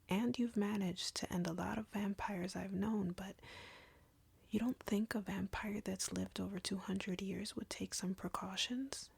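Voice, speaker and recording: A very breathy, husky vampire woman, played by an actress in an intimate roleplay, drawing out her taunts slowly with a creaky edge. She is arrogant, cruel and flirty, condescending to her captive at close range. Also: mid-range voice, darkish tone, no room sound, heavily acted. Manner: slow, taunting, condescending, teasing. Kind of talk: scripted roleplay audio, intimate ASMR-like delivery. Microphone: close, dry microphone.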